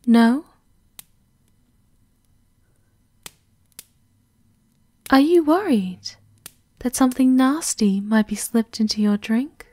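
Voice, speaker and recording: A woman playing a devoted, menacing servant of a vampire countess, formal and softly sinister in an ASMR roleplay, often in a half-whisper. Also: fairly high pitch, expressive, a little slow, no room sound. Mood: courteous, needling.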